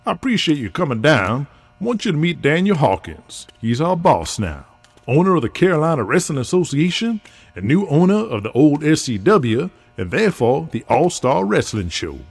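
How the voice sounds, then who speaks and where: A man's voice: a little high, big pitch swings, moderate pace, vocal fry, breathy edge, full character voice. A man playing a brash, persuasive wrestling booker with big plans in an audio drama, talking in a folksy, salty way. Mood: upbeat. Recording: music bed under the voice.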